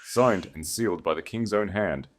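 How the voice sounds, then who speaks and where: A man voicing a solemn, commanding guard captain in a storybook, proclaiming formally and forcefully. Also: sits low, normal speed, slight background noise, close to an everyday voice.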